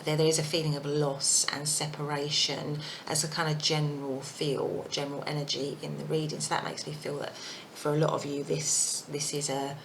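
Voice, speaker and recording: A woman giving a casual tarot reading, thinking aloud with many fillers, her voice croaky and husky. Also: sits low, level tone, moderate pace, noisy background. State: croaky voice, clearing throat. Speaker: tarot reader.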